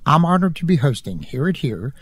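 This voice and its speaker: A man's voice: a little high, sing-song, brisk, breathy edge, no echo. Courteous male radio host. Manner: formal, courteous announcer delivery. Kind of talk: scripted radio interview.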